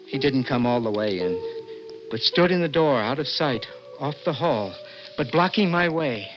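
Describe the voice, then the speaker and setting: A man's voice: mid-range voice, lively intonation, lightly acted. A man playing a desperate young imprisoned poet in earnest, dramatic 1960s radio suspense style. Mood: grave narration. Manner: intense, earnest, dramatic, halting when startled. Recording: dull old radio recording, prominent music.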